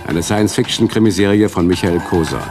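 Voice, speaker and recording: A man's voice: low-pitched, a little fast. A man announcing the titles of a radio series in a scripted style. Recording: loud music behind the voice.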